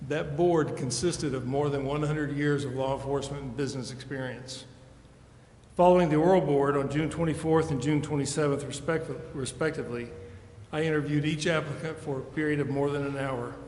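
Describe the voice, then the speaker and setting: A man in the role of police chief, delivering a formal, measured ceremony speech in a council chamber. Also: a little high. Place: large indoor council chamber. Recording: echoey reverberant hall, clearly noisy.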